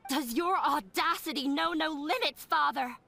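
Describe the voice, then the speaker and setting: A woman's voice: sits high, moderate pace, creaky, over a noisy crowd. A woman playing a defiant, bitter young woman in a video game, confrontational and emphatic. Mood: angry, defiant.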